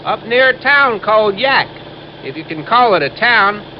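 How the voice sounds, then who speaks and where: A man's voice: sits high, animated, normal speed. An actor playing an earnest, rambling young insurance man in a 1950s American radio drama, folksy and hesitant. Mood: folksy explaining. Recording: muffled, noisy old radio recording.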